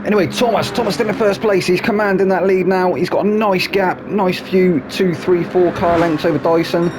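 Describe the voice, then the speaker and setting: Man, race commentator, snuffly nose, higher-pitched voice, car engine noise behind, chatty tag questions. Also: fairly even intonation, moderate pace. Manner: chatty, asks tag questions, drops low for asides. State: snuffly from hay fever. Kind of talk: live sim racing commentary.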